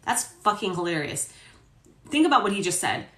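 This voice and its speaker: A woman's voice: mid-range voice, big pitch swings, moderate pace, a bit bright. A woman hosting a dramatic transcript reading, giving casual, sardonic asides and swearing freely. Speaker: host reading a court transcript with commentary. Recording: roomy echo.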